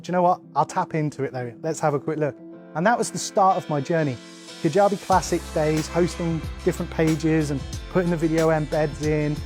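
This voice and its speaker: Higher-voiced man, music behind. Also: darkish tone. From England, near London. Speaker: business owner giving a customer testimonial. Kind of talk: testimonial storytelling in a promotional video. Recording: music bed under the voice.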